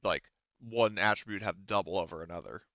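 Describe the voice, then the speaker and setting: A man with a low, clean voice, recorded dry and slightly muffled. He works as a game master, talking casually and hesitantly as he thinks through the rules aloud. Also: a little fast, gravelly, pushed and bright, dry recording. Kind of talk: running a tabletop roleplaying game, casual.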